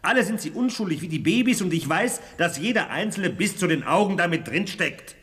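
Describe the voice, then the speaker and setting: Indignant suspicious official, radio drama, animated pitch, far off in a big hall. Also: male voice, a little fast. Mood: exasperated indignation. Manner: emphatic, indignant. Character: exasperated male official, suspicious and embattled. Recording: distant, reverberant hall.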